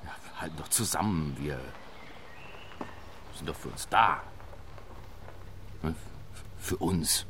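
A man's voice: a bass voice, takes it slowly, pauses often, a bit bright, a little hiss or hum, heavily acted. An actor playing a stressed, self-justifying man in his forties in a German radio drama, hesitant yet urgently coaxing the others. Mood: pleading, conciliatory.

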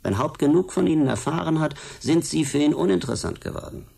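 A gravelly, menacing state security agent. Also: male voice, on the high side, expressive, fast talker, dark tone, full character voice. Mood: insinuating, pressing. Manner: mock-polite, condescending, needling. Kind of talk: German radio drama acting.

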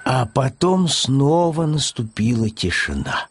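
A man's voice: mid-range voice, very animated, takes it slowly. A man reading a children's story audiobook as its narrator.

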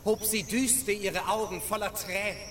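Policeman reciting tragedy, male actor: high, solemn, theatrical, radio drama with busy background voices. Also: fairly even intonation, moderate pace, a loud crowd, natural voice.

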